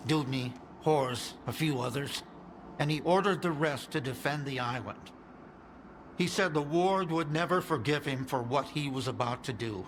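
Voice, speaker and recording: A man's voice: mid-range voice, normal speed, natural voice. Earnest male scientist played by a man, noisy surroundings. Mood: grave, uneasy. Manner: earnest, explanatory. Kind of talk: video game cutscene acting.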